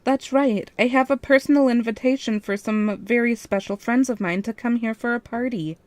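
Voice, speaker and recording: A woman's voice: a little high, normal speed, a little mellow, dry recording, voice pushed up, close to an everyday voice. A woman voicing a sweet, eager, mischievous young female animatronic fox in a story reading. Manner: eager, squealing, sweet-toned.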